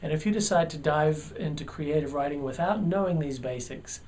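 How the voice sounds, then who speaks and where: A man's voice: a little high, moderate pace, darkish tone, a little hiss or hum. A man with a New Zealand accent, a college writing teacher, explaining the basics of grammar in a video lesson with earnest emphasis.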